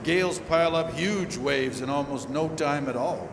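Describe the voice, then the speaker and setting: A man's voice: fairly high pitch, moderate pace, creaky. A man playing a seasoned, practical lake steamer captain in old-time radio drama. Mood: practical, cautionary. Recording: echoey hall with background noise.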